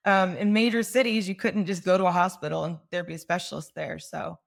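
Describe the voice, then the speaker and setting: American woman, forties, podcast guest, lowish voice, creaky fry, chatty storytelling. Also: steady tone, brisk. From American Midwest. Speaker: podcast guest, marketing professional. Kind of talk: casual storytelling in an interview.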